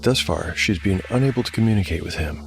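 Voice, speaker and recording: A man's voice: deep voice, quick pace, creaky. A man narrating a solo tabletop fantasy game as game master, in a dramatic storytelling style. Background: prominent music.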